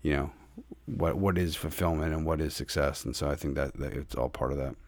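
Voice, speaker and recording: A male podcast host with a deep, low-set voice, recorded dry and close. He feels his way through questions with a string of "you know"s and half-finished thoughts. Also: a little fast, dry recording. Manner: loose, searching phrasing with many fillers. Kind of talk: casual interview talk.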